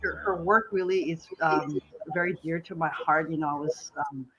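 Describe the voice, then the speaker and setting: A woman's voice: sits low, fairly even intonation, normal speed, a bit bright, slightly rough, highs cut off. Hesitant woman co-host from Malaysia. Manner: hesitant, many fillers. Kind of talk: casual spoken remarks.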